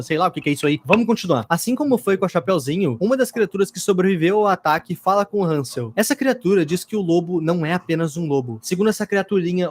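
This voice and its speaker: A Brazilian man hosting a reaction video, retelling a story in casual, colloquial commentary with some sarcasm. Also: on the high side.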